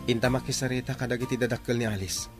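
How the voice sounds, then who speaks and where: A man's voice: medium pitch, steady tone, fast, over music, close to an everyday voice. Man acting earnest, devoted young suitor. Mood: determined. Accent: Filipino. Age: young adult. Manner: earnest, insistent. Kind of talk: Ilocano radio drama acting.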